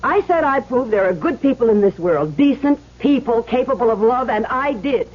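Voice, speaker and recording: Feisty woman, animated and blunt. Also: fairly high pitch, normal speed, slightly rough. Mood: indignant. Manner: blunt, emphatic. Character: feisty, principled woman, defiant and big-hearted. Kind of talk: old-time radio drama acting. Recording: dull old radio recording, faint hum.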